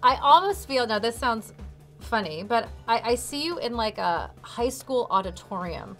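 A middle-aged woman gives a casual tarot reading. Her voice is bright and a little high, and she talks in an animated, conversational way. Faint music plays behind her. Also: normal speed. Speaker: tarot card reader. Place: dark indoor studio, seated at a table.

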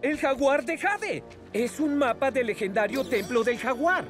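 A performer voicing a polite, deferential, friendly male jungle guide in an animated cartoon dub. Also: high-pitched, measured pace, over music. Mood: eager. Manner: polite, servile, chuckling.

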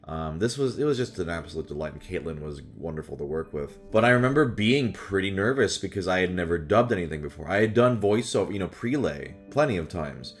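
Young adult male, low register, casual vlog host talking about his craft, animated delivery, soft music behind. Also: fairly quick, few pauses. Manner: animated, slips into exaggerated character voices. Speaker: voice actor and video host. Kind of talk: casual chat to camera about voice roles. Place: small furnished room at home, indoors.

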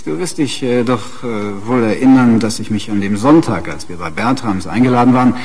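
A man's voice: fairly low pitch, normal speed, a little mellow, breathy edge. An actor playing a pompous, needling middle-aged husband and father in a radio drama, condescending and self-righteous. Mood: needling, smug. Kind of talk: naturalistic radio drama acting.

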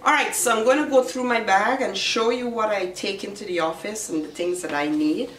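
A middle-aged woman with a slightly raspy voice from a recent virus, chatting casually as she shows what she carries to work. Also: mid-range voice, fairly bright tone. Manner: chatty, unhurried, explains each item. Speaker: vlogger. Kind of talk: casual show-and-tell vlog. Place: small room indoors. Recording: roomy, distant camera sound with faint hiss.

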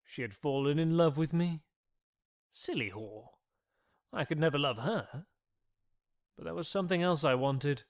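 A man's voice: fairly high pitch, unhurried, long pauses. An actor playing a brooding, arrogant vampire who recounts his transformation in a formal, theatrical gothic audio drama monologue. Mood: cold, hungry. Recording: music under the voice.

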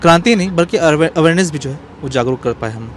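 Fairly high-voiced man explaining seriously outdoors. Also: expressive, quick pace. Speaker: interview guest, cartoonist. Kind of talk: explanatory, serious interview answer. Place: outdoors in an open courtyard. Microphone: handheld small microphone. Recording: faint outdoor street background noise.

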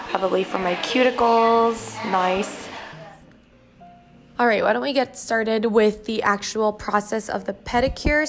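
A woman in her twenties chatting informally as a vlogger, casually narrating and peppering her sentences with "like". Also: mid-range voice. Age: 20s. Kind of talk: casual vlog chat and narration.

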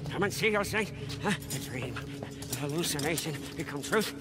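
Game role, male actor: crazed devout mechanic sidekick, wheedling preacher zeal, high voice, wildly animated pitch. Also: normal speed, loud music behind the voice, lightly acted. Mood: reverent, ecstatic. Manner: feverish preacher-like zeal, wheedling, repeats himself. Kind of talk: video game character acting.

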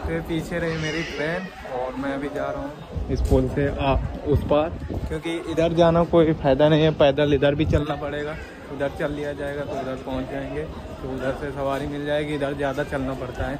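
A man's voice: fairly high pitch, little pitch movement, moderate pace, over a noisy crowd, low-quality recording. A young man from India chatting casually to his viewers while filming a travel vlog on a passenger train on his phone. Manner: chatty, addresses viewers as brothers. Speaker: vlogger. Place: on a moving passenger train and at stations. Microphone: handheld phone. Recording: loud train and traffic noise.

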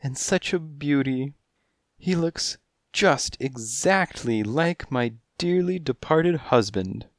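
A man's voice: medium pitch, lively intonation, slow, no echo, clean studio sound, pitched above the performer's own voice. A man voicing a flirtatious, dainty female cat who gushes and speaks breathily, in a storybook reading. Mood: gushing. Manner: breathy, gushing, coy.

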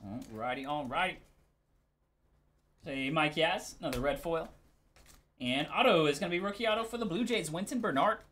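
A man's voice: on the high side, moderate pace, long pauses, a bit bright, slight background noise. Man calling out cards casually. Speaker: host of live sports card breaks. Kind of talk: casual live commentary on card openings.

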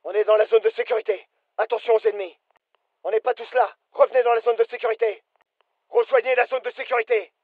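Casting: alert game soldier, very high-pitched, clipped urgent commands, dry muffled recording. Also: male voice, little pitch movement, quick pace, no echo. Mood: businesslike. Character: British fireteam soldier, alert, businesslike adult man. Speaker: voice actor, French dub. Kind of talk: military shooter game callouts.

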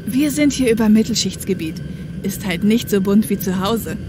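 A woman's voice: medium pitch, normal speed, background noise. A woman playing a tense police helicopter copilot in a German audio drama, with echo on the voice. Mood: focused. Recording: echoey sound with music behind.

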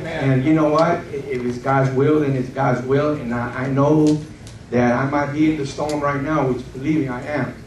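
A man giving a candid, earnest personal testimony to a congregation, speaking haltingly. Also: medium pitch, steady tone, darkish tone. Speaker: program member giving testimony. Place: church hall. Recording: echoey room from far off with noise.

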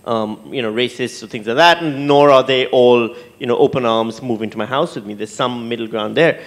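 A man moderating a panel on stage, conversational and halting, repeating words as he thinks aloud into a handheld microphone. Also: medium pitch. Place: stage in an auditorium with audience.

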